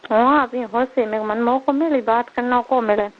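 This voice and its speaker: A woman's voice: a little high, steady tone, normal speed. A woman with a Marwari accent chatting casually and intimately as a caller on a personal phone call. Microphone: telephone line. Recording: thin, band-limited phone call recording.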